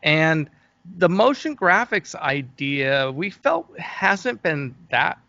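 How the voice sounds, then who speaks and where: A man's voice: on the high side, sing-song, takes it slowly, vocal fry, bright, forceful tone, dry recording, dull audio. A middle-aged man chatting casually as an interview guest, often stopping and restarting his sentences. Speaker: interview guest, fantasy film producer.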